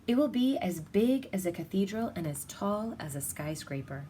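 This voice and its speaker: Female storybook reader, mid-pitched, expressive and deliberate, recorded with audible background noise. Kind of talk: children's picture-book read-aloud.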